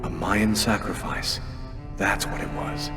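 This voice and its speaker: A man's voice: lowish voice, fairly even intonation, slow pace. An actor playing a guarded, earnest man on the run in a video game, speaking in a subdued, serious way. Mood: troubled, earnest. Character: guarded, earnest male fugitive posing as a journalist. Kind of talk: naturalistic video game acting. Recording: music behind the voice.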